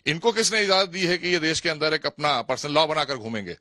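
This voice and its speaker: A man's voice: on the high side, fairly quick, pushed and bright, no room sound, studio quality. A man giving a combative political soundbite, emphatic and accusatory. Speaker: political commentator.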